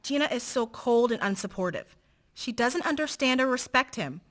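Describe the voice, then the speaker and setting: A woman's voice: fairly high pitch, lively intonation, moderate pace, a bit bright. A woman acting a willful, defiant young woman in love in a radio play.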